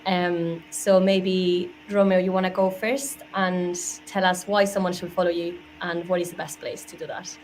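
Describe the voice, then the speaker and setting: A woman's voice: fairly low pitch, flat delivery, moderate pace, few pauses, a little mellow. A woman moderating an online panel, hesitant, with many fillers. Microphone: video call. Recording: slightly noisy video-call audio.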